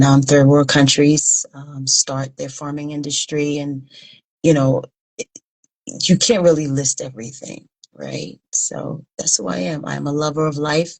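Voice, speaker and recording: Very deep, gravelly woman in her fifties. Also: level tone, a little slow, a little mellow, rough recording. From Liberia. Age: 50s. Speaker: interview guest, humanitarian founder. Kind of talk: conversational interview answers.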